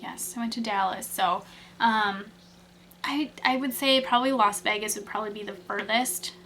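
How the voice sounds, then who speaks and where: A woman's voice: medium pitch, normal speed, sharp tone, slight background noise. A young woman from Wisconsin, chatting casually through tag questions, rambling with lots of fillers and restarts. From Wisconsin, USA. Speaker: beauty vlogger.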